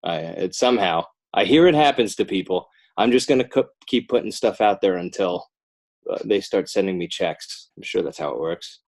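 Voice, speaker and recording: A man's voice: deep voice, lively intonation, normal speed, gravelly, a little mellow. A middle-aged American man chatting casually as a podcast guest, rambling in a wry, self-deprecating way. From northern New Jersey, United States. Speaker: podcast guest, animator and children's author. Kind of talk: casual joking interview chat.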